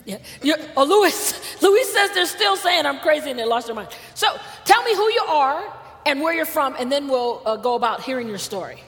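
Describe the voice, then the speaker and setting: Woman pastor, very high and bright, emphatic preaching, reverberant church hall. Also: animated, normal speed. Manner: emphatic, calls out to congregation. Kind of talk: preaching and hosting a church panel. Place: church sanctuary.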